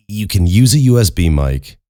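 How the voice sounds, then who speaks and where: Young guy with a super low voice rambling thru a q and a, cussing, poking fun at himself, dead dry room. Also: warm tone, dry recording. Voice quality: very low speaking voice. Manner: rambling, self-mocking, swears casually. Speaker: podcast host and voice actor. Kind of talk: casual Q and A chat.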